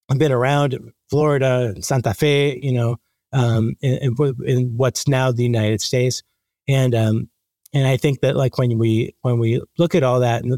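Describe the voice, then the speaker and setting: A man being interviewed, speaking conversationally and haltingly as he thinks aloud with repeated false starts. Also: mid-range voice, darkish tone, no echo, studio quality. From born in the Dominican Republic. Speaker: interview guest, magazine editor.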